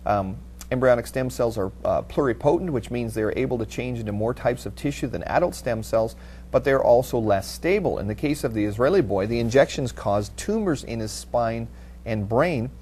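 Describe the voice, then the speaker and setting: A middle-aged man hosting a studio panel talk, reading out and commenting on news in a halting way. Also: medium pitch, lively intonation, darkish tone. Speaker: TV panel host. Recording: audible background noise with hum.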